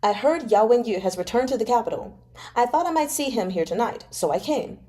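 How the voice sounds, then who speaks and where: A woman's voice: fairly high pitch, lively intonation, fairly quick, warm tone, close to an everyday voice. A woman reading aloud as a haughty, mocking young nobleman with a cutting, easily offended manner. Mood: aloof. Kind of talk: dramatic novel read-aloud.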